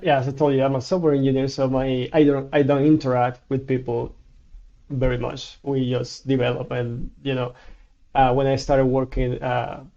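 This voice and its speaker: A man in his thirties from Cuba with a Cuban Spanish accent, a native Spanish speaker talking haltingly as a guest in a casual interview, with many restarts. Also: medium pitch, little pitch movement, dark tone, dull audio.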